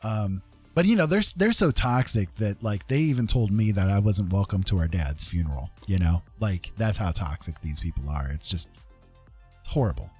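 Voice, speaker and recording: A man's voice: fairly low pitch, lively intonation, normal speed, darkish tone, slightly rough, faint music behind. A man chatting casually as a livestream host, rambling conversationally and often checking in with his listeners. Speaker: live streamer host.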